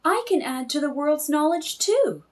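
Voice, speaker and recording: A curious, earnest little storybook girl, acted out by a woman reader. Her voice is high and swings widely, with wide-eyed, emphatic questioning. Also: lively intonation, unhurried. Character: curious, earnest young girl, about ten.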